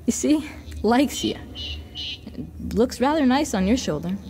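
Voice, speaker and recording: A woman's voice: a little high, animated, measured pace, dark tone, slight background noise. Woman playing a sly devil boy in echoing reverb. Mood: silky, tempting. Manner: coaxing, mock-innocent, silky salesmanship. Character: sly devilish boy tempter, sweetly offering sinister gifts. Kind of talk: audio drama acting.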